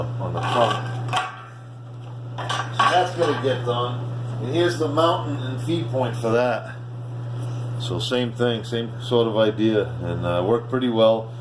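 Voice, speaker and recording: A man with a fairly low voice talks through his hobby gear in a casual, rambling way, working things out as he goes. He is recorded in an echoey indoor space with a clear hum behind him. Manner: rambling, thinking aloud. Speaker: amateur radio hobbyist. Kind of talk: casual unscripted hands-on walkthrough. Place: indoor room with bare concrete floor. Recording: roomy echo, clear background hum.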